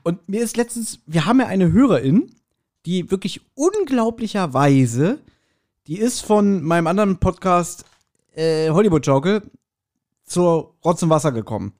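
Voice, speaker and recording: A man's voice: high-pitched, very animated, moderate pace, darkish tone. A man of forty hosting a podcast in casual, joking, rambling German-style banter with lots of informal asides. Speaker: podcast host.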